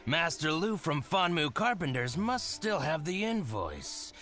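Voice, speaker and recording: A petty, gloating male merchant in a video game. His pitch swings wildly from line to line as he sneers at his rival. He sounds scornful and theatrical. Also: very animated, measured pace, sharp tone, soft background music, heavily acted. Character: spiteful grudge-holding male merchant. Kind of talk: game voice acting.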